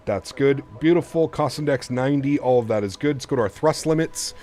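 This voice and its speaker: A man's voice: medium pitch, brisk, a little mellow. A man streaming a flight simulator, thinking aloud in a casual, chatty way and asking his viewers for their opinions. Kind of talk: casual live-stream commentary while programming a flight computer.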